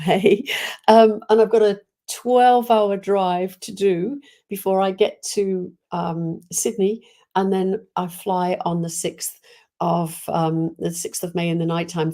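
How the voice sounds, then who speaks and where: A woman's voice: on the low side, steady tone, measured pace, warm tone. A woman chatting casually and enthusiastically to viewers on a livestream, rambling from one thought to the next. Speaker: spiritual medium hosting a live online chat.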